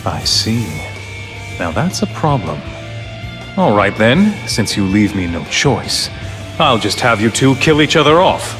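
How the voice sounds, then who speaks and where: Middle-aged villain director, game drama, condescending, slow, wide swings in pitch, music behind. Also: male voice, big pitch swings. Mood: coldly mocking. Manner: theatrical, condescending, measured. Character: calculating middle-aged male director, coldly grandiose villain. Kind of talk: dramatic game cutscene acting.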